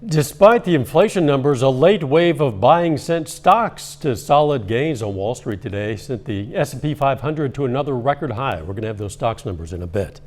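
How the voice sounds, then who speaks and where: A man reading a local evening newscast as anchor, in a steady, measured delivery with a slightly strained voice. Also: mid-range voice. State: losing his voice, coughing at times.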